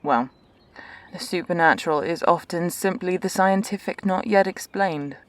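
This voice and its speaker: A woman plays a world-weary female doctor in a science fiction audio drama. Her voice is low for a woman, and she talks in a dry, composed way with wry understatement and careful, measured phrasing. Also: dry recording, lightly acted.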